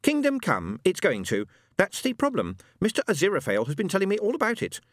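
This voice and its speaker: Brief: man playing a kindly middle-aged woman, lilting and coaxing, high voice. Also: wildly swooping pitch, fast talker, no room sound, studio quality. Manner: motherly scolding.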